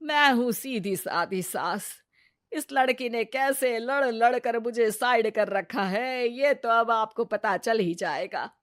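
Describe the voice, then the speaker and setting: A woman voicing a sarcastic, nagging older mother-in-law in a cartoon moral story, with drawn-out mocking speech. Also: on the high side. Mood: sarcastic complaining.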